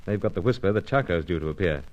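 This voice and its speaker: An actor playing an earnest secret agent hero, dutiful, clipped and dramatic, in an old-time radio serial style. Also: male voice, sits low, lively intonation, quick pace, lo-fi sound. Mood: urgent.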